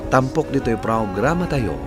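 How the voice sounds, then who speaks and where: A man's voice: medium pitch, animated, normal speed. A man with an Ilocano accent presenting a radio drama programme as its host. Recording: loud music bed under voice.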